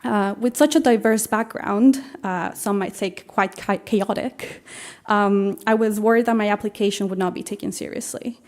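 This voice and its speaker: A young woman with a Mexican accent speaks at a memorial event. Her voice is mellow and set at an ordinary pitch. She talks in a measured, prepared way, with frequent ums and uhs between phrases. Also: steady tone. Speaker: scholarship recipient giving a speech. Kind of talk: formal prepared speech.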